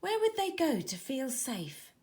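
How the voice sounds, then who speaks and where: A woman's voice: sits high, huge pitch swings, unhurried, a little mellow, voice pushed up, heavily acted. A woman voicing a brave, eager young girl firefighter in a children's storybook. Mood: worried.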